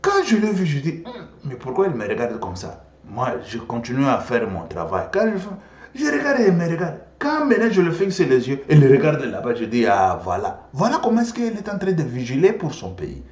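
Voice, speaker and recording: Congolese man, Congolese French accent, Swahili native: lively political commentator, repeats himself, echoey room. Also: mid-range voice, fairly quick. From Democratic Republic of the Congo. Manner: animated storyteller, repeats phrases, switches languages. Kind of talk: impassioned political monologue.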